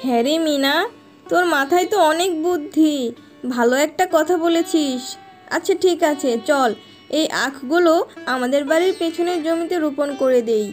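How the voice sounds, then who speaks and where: Woman voicing a high-pitched loving mother fox, cartoon. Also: moderate pace, darkish tone, soft background music, close to an everyday voice. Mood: warm, encouraging. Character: loving, worried mother fox, poor village working woman. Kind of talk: Bengali fairy-tale cartoon dubbing.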